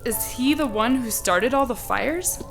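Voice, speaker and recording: An actress in a radio drama playing an anxious, inexperienced police officer with a nervous, hesitant delivery. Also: female voice, fairly high pitch, unhurried, vocal fry, slight background noise. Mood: shaken.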